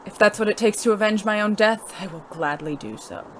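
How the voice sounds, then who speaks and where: A woman's voice: mid-range voice, brisk, heavy background noise, lightly acted. A woman playing a cool, secretive spy who lives as a man in a noir audio drama, formal and wry. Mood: sardonic, challenging.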